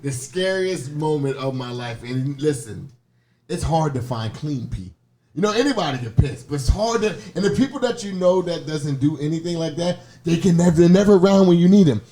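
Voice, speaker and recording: A man's voice: fairly high pitch, lively intonation, brisk, mellow. A man telling a comic story in casual, slangy conversation, acting out the exchanges as he goes. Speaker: podcast guest telling personal stories.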